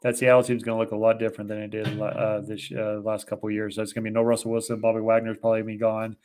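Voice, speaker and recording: A man's voice: lowish voice, monotone, very fast, a little mellow. A man with a Boston accent co-hosting a casual football picks show, rattling off confident predictions. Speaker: sports picks co-host. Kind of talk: casual sports betting chat.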